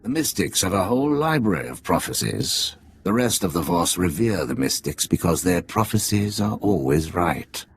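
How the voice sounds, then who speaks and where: A deep, gravelly undercover agent. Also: male voice, big pitch swings, moderate pace, few pauses, heavily acted. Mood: briefing, dry. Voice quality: gravelly, slightly husky. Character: undercover Imperial agent posing as a native, wry, shrewd. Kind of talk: video game cutscene acting.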